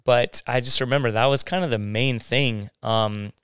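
A man telling his faith story in a casual interview, halting and restarting his sentences often. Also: medium pitch, steady tone, fairly bright tone, clear, resonant tone, dry recording, clean studio sound. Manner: halting, many restarts and fillers.

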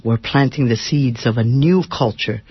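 A man's voice: mid-range voice, moderate pace, husky, no echo. Earnest man, dry lo-fi radio sound. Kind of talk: scripted radio drama. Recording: dry, dull lo-fi radio recording.

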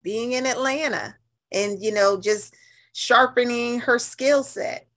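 A woman's voice: on the high side, slow pace, fairly bright tone. A middle-aged American woman from New Haven talking in a chatty, colloquial, emphatic way as an interview guest, telling stories. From New Haven, United States. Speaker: radio interview guest, nonprofit leader. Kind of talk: animated conversational interview.

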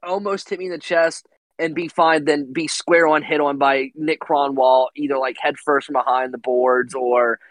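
Podcast co-host, man, slightly high voice, rambling and laughing, dry close mic. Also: steady tone, normal speed, a bit bright, no room sound, rough recording. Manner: rambling, laughs while talking, repeats "I mean". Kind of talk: casual chat.

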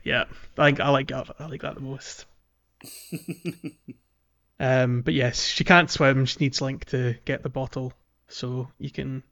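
A man's voice: medium pitch, little pitch movement, unhurried, gravelly, fairly bright tone, muffled recording. A man co-hosting a gaming podcast, talking casually and informally with a hesitant delivery. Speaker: podcast co-host. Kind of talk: casual gaming discussion, recapping a video game.

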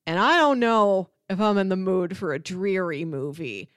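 A woman's voice: medium pitch, moderate pace, no echo, clean studio sound. A woman co-hosting a podcast, talking casually and expressively about films in a chatty conversational style. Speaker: podcast co-host. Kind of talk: casual film discussion.

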